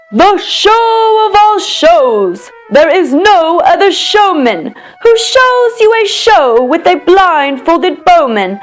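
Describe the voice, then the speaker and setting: A woman performs an eager young boy from a rhyming storybook, boasting like a circus showman. The voice is high and hugely animated in pitch, with slow, emphatic, singsong delivery over music. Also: full character voice.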